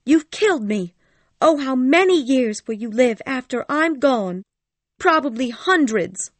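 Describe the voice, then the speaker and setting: A woman's voice: high-pitched, animated, a little slow, dry recording. A woman playing a passionate, dying young woman who pleads desperately in a dramatized audiobook. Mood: anguished, reproachful.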